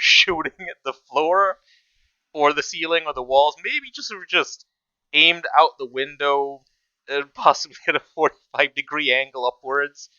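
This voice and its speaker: Man, reaction host, casual amused rambling, bright tone, very dry close sound. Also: on the high side, smooth, dry recording, dull audio. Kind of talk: casual commentary on an animated video.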